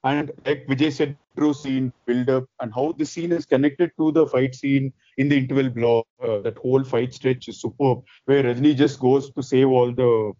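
A man chatting as a podcast host, loud and excited, rambling with restarts. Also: mid-range voice, normal speed, hardly any pauses, no room sound, dull audio. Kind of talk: casual chat.

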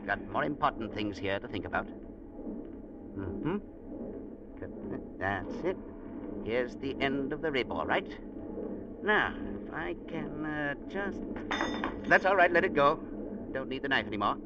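An actor playing a blunt, bossy, unflappable sea captain in a vintage radio drama, with curt, folksy talk. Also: male voice, mid-range voice, animated, unhurried, long pauses, natural voice. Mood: absorbed, testy. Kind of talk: 1940s-50s radio drama acting. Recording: muffled, noisy vintage radio recording.

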